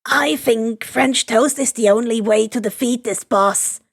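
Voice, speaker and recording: A woman voicing a nervous, guarded young male villain who speaks haltingly in a story reading. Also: fairly high pitch, gravelly. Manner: halting, unsure.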